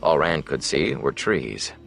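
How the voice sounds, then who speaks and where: A man's voice: extremely low pitch, sing-song, a little slow, vocal fry, fairly bright tone, soft background music. A man reading a fantasy novel aloud as scripted audiobook narration.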